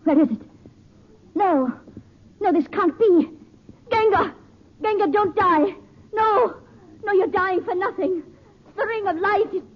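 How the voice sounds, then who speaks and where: A woman's voice: very high-pitched, slow, pauses often. An actress playing a cold, menacing woman killer in 1940s radio style. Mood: frantic. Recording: muffled, band-limited 1940s radio recording.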